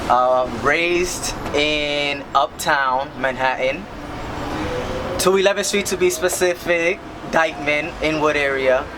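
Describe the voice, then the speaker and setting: A man's voice: a little high, slow. A young man from New York City talking casually outdoors in an interview, upbeat and emotional. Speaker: aspiring performer. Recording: loud street noise behind.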